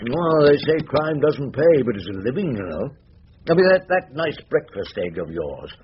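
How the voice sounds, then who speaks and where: A man's voice: on the high side, very animated, moderate pace, husky, full character voice. An older man with an English accent acting a wry, garrulous old barrister in a radio drama, rambling theatrically with dry irony. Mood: breezy. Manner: rambling, theatrical, drily ironic. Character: elderly, wry, garrulous English barrister, poetry-quoting and irreverent. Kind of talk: radio drama acting with first-person narration. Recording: dull, noisy radio drama recording.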